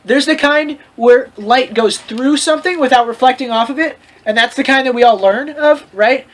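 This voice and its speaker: A host reading fan fiction aloud for comedy, in an animated, mock-lecturing way with frequent asides. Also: high-pitched, moderate pace. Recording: clear background noise with clicks.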